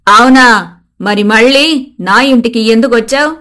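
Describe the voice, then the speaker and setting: Woman playing a scolding, high-voiced mother-in-law. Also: measured pace. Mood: suspicious, demanding. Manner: loud, accusing, demanding. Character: scolding, suspicious mother-in-law confronting a thief.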